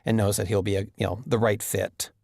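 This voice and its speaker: A man giving a reflective interview about drama characters, halting as he searches for words. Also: on the low side, little pitch movement, gravelly, darkish tone, dry recording, studio quality.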